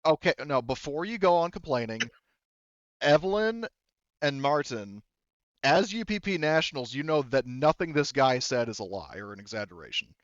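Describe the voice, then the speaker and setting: A man running a tabletop roleplaying game as game master, thinking aloud as he explains rules and story in a deliberate way. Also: mid-range voice, expressive, no room sound, highs cut off.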